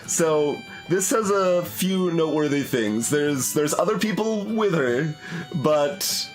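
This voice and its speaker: A man's voice: a little high, animated, slow, soft background music, rough recording. A man giving casual game commentary, rambling and tentative as he thinks aloud. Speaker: let's play streamer.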